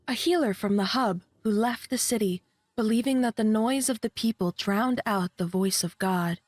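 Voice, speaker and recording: A devout, serene sister from a video game, played by a woman, speaking in a mid-pitched voice. Her delivery is calm and measured, recorded very dry with no room around it. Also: no echo, lightly acted. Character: serene, devout religious sister giving counsel. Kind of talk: video game character dialogue.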